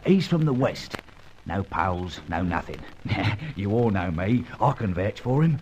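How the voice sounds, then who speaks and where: An actor playing a chummy, wary small-time crook, slangy and confiding, in old-time radio detective style. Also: male voice, on the low side, big pitch swings, a little slow, darkish tone. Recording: muffled, hissy old radio recording.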